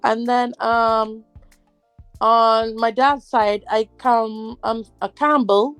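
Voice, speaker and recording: A woman's voice: on the high side, fairly even intonation, slow, a bit bright, smooth, no room sound, soft background music. A middle-aged Barbadian woman, a guest in a casual interview, talking hesitantly with many fillers and restarts.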